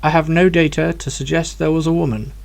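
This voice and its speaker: A man voicing a calm hologram professor. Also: fairly high pitch, vocal fry. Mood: matter-of-fact. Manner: measured, matter-of-fact replies.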